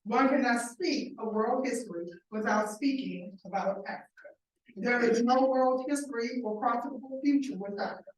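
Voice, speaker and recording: A woman moderating a faculty panel, reading a formal, measured opening address. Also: fairly high pitch, a little mellow. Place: large hall. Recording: echoey hall from a distance with faint noise.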